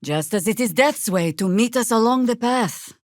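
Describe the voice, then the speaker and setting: A woman performs an older female druid warrior from a fantasy video game, world-weary and wry. Her delivery is measured and grave yet commanding, with wide swings in pitch. The sound is close and dry, with no room on it. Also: expressive, no room sound, natural voice. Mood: weary, sardonic.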